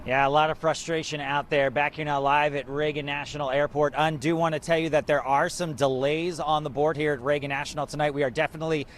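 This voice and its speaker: A man's voice: a little high, level tone, fast, few pauses, a little hiss or hum. An American man working as a TV news reporter, delivering live transportation reports in broadcast style.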